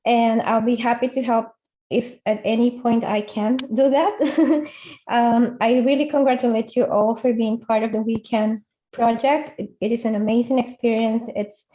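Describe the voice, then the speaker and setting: A woman's voice: on the high side, fairly even intonation, moderate pace. Woman. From Peru. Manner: warm and encouraging, with slight grammar slips. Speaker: entrepreneurship facilitator. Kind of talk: self-introduction on a video call. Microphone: video call. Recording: dull lo-fi video call audio.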